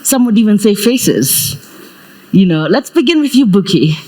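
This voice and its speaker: A woman from Kenya moderating a live panel on a conference stage, talking loud and playful with frequent laughs. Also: medium pitch, big pitch swings, moderate pace, poor audio. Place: conference stage before an audience. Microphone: handheld stage mic.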